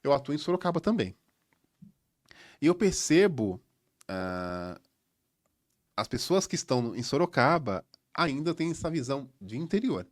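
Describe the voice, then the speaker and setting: A man's voice: medium pitch, measured pace, pauses often. A Brazilian man from Salvador with a São Paulo accent, hosting a casual interview and echoing his guest's words. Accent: Brazilian Portuguese, São Paulo. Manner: animated, echoes the guest's words, "legal". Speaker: podcast host.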